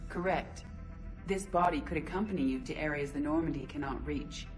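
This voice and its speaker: Actress as a low-voiced emotionless female AI, precise and measured, game cutscene with music under. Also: close to an everyday voice. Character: calm female AI in a robot body. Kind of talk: video game voice acting.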